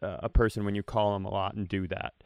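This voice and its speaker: Smooth-voiced riffing podcast man. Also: lowish voice, level tone, no room sound. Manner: rapid riffing, halting restarts. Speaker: podcast co-host, comedian. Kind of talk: casual comedic banter.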